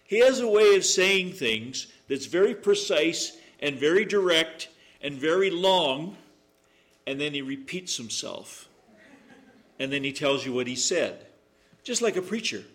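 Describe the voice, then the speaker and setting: Slow-speaking loud male preacher. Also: fairly high pitch, lively intonation. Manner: loud voice, joking asides. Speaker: guest preacher. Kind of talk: sermon with scripture reading and prayer. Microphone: microphone through speakers. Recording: echoey room.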